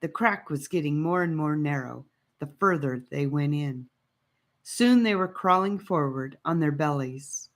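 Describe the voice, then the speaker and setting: A woman's voice: low voice, unhurried. A woman reading an adventure audiobook as a dramatic storybook narrator.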